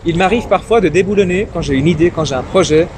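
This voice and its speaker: A man's voice: high-pitched, fast talker. A timid young man born in Iran, whose first language is Farsi, speaking in a casual interview with many fillers and repeated words. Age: young adult. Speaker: interview guest, young politician. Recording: noisy street and traffic ambience.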